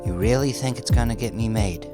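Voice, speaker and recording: A young streetwise gangster, played by a male narrator, speaks in a fairly low voice over clear music. He sounds wary and slangy, an ambitious underling in a crime audiobook. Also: brisk, lightly acted. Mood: doubtful. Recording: music behind the voice.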